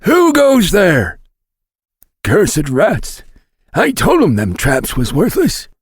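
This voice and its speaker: An actor voicing a grumbling, raspy prospector-like man in an audiobook. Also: high-pitched, huge pitch swings, slow pace, no echo, clean studio sound, heavily acted. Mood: gruff, irritated. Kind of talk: audiobook character acting.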